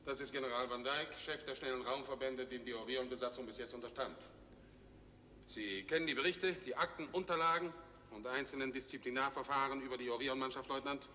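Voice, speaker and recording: An actor playing a stern, commanding middle-aged space fleet general, clipped and formal, in 1960s German TV science fiction. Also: male voice, mid-range voice, steady tone, brisk, sharp tone, lightly acted. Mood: brisk, official. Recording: reverberant, noisy 1960s TV soundtrack.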